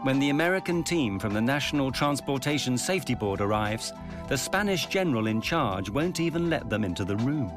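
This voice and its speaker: Male documentary narrator, measured and grave, with a prominent music bed. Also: mid-range voice, animated.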